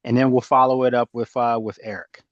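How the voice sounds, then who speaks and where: A man in his fifties hosts a relaxed group talk over a video call. He stumbles over and repeats his words, laughs easily, and keeps a steady middle pitch. The sound is dry and close. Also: a little fast, dry recording, muffled recording. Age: 50s. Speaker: host. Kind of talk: casual group chat.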